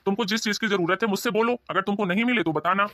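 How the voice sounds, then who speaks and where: An actor playing a placating, exasperated husband who avoids conflict in an animated family story. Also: male voice, high-pitched, quick pace.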